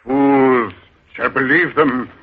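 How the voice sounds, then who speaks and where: A man's voice: medium pitch, slow pace, slightly rough. A sly beggar king posing as a god. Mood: grandly deceptive. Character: cunning beggar leader posing as a god, male adult. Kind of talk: 1930s radio drama acting. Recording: muffled old radio broadcast with music.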